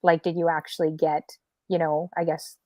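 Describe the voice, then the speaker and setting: A woman's voice: deep voice, flat delivery, moderate pace, dry recording, highs cut off. A woman from Toronto hosting a talk show, chatting casually and asking loose, friendly questions full of fillers. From Toronto, Canada. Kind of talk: casual interview chat.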